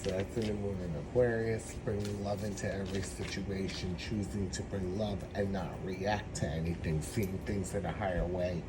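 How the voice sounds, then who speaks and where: A man with a hoarse, troubled throat giving a meandering, reflective spiritual tarot reading. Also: sits low, steady tone, background noise. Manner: meandering, reflective, slow-spoken. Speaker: tarot reader.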